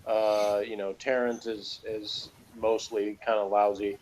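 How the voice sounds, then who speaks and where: A man chatting casually as he reviews television, rambling and halting, often repeating himself. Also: fairly low pitch, level tone, darkish tone. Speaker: podcast reviewer. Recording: noticeable background noise.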